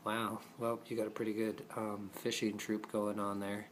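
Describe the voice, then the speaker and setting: A man's voice: on the low side, monotone, moderate pace, a little hiss or hum. A man improvising playful puppet show narration, chatting casually as if to the puppets, in an amateur recording.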